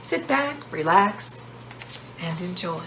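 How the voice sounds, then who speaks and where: A woman author narrating a children's storybook in measured, deliberate phrases. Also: on the low side, lively intonation, a bit bright, slight background noise. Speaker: author reading a book.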